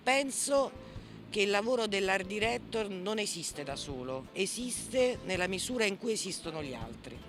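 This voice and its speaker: A woman's voice: medium pitch, animated, moderate pace. A woman art director explaining her work informally in an interview, searching for words. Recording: music playing behind the voice.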